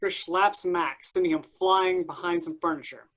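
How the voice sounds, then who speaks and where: A woman's voice: lowish voice, normal speed, a bit bright. A woman giving scripted narration, reading out stage directions for a play.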